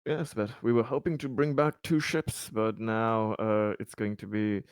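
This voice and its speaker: A man's voice: lowish voice, steady tone, unhurried, clean tone, no room sound. A man playing in a tabletop roleplaying game, speaking formally and hesitantly as a leader.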